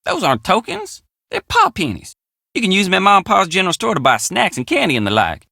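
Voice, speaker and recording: A man's voice: sits high, wildly swooping pitch, quick pace, creaky, bright, forceful tone, no echo, studio quality, full character voice. An actor playing a friendly, plainspoken young country handyman with an American drawl in a video game. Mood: upbeat, eager. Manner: folksy, plainspoken country phrasing. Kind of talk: video game character dialogue.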